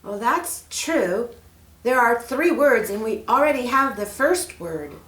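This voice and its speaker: A woman voicing an earnest, trusting storybook girl, bold in her faith, with a bright, emphatic delivery. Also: on the high side, lively intonation, takes it slowly, roomy sound, recorded from a distance, voice pushed up, poor audio.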